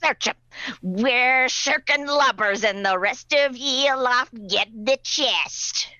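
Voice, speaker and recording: A woman's voice: sits high, takes it slowly, bright, forceful tone, no echo, highs cut off, full character voice. A woman playing a menacing, impatient pirate gang leader who barks theatrical commands in a radio play. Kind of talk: live radio play acting.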